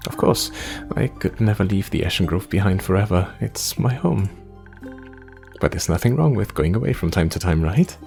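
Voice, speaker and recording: A man's voice: sits low, animated, moderate pace, creaky, darkish tone, soft background music. A man voicing a young forest ranger in a fantasy game, dreamy, earnest and yearning for adventure.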